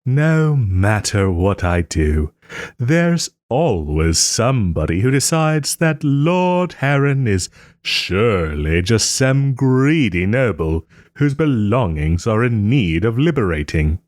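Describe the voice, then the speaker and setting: A man playing a proud, sarcastic nobleman in a scripted roleplay audio drama, with haughty, theatrical phrasing and pointed pauses. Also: medium pitch, big pitch swings, a little mellow, no echo, clean studio sound. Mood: sardonic, aggrieved. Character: wry, wounded young nobleman lord, sarcastic and proud.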